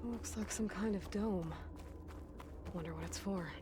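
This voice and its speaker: A woman's voice: mid-range voice, unhurried, background noise, natural voice. A young woman playing a determined, thoughtful game adventurer, speaking earnestly as if working things out. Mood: musing, determined. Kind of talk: naturalistic video game dialogue. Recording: loud music behind.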